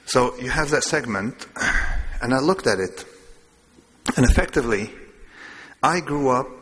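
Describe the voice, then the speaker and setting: A man's voice: mid-range voice, animated, unhurried, a bit bright, slight background noise. A man from Lebanon giving a discursive public lecture, wandering between asides with a self-amused touch. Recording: long hall reverb.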